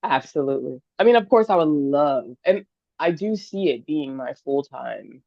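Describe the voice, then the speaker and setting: Gravelly-voiced young woman, earnest singer. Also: low-pitched, expressive, moderate pace, no room sound. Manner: earnest, enthusiastic, thinks aloud. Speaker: singer-songwriter guest. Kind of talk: casual podcast interview. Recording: dull lo-fi dry sound.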